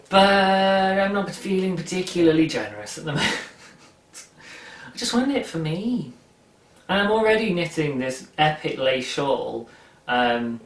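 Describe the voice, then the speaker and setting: A man's voice: a little high, recorded from a distance. A young man hosting a knitting video podcast from his sofa, chatting in a rambling, self-amused way with plenty of ums. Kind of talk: casual chat. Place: living room, seated on a sofa. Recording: echoey room, voice slightly off-mic.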